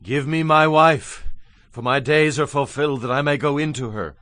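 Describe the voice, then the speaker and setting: An earnest, hot-blooded young husband acted by a man in an audio scripture drama. The voice is fairly high and forceful, emphatic on his words, recorded very dry. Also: moderate pace, no echo, lightly acted. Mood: demanding.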